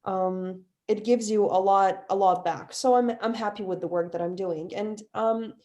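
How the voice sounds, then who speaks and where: A woman's voice: mid-range voice, steady tone, normal speed, warm tone. A woman in her twenties from Bosnia and Herzegovina speaking earnestly as a panelist, fluent with some fillers. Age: 20s. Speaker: panelist, facilitator. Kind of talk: online panel talk.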